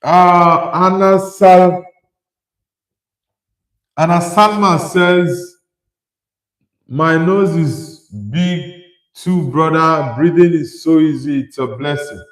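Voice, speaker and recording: Man, young adult, from Nigeria, football livestream host, reads chat and replies, slightly high pitch, echoey room. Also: steady tone, slow pace, pauses often. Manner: conversational, reads out chat messages and replies with opinions. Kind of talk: casual live chat reading viewer comments.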